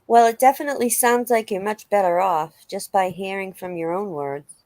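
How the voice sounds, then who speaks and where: A woman's voice: medium pitch, normal speed, darkish tone, no echo, lightly acted. A woman playing a sympathetic, supportive investigator in a dramatic reading of a police interview, soothing and conversational.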